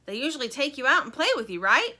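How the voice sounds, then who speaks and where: A brash toy shark, played by a woman reading a storybook, yells in a high, blaring voice. It is loud and demanding, with a sharp, bright edge on every word. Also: sing-song, fast, exaggerated voice. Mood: indignant. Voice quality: blaring, bugle-like. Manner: yelling, demanding.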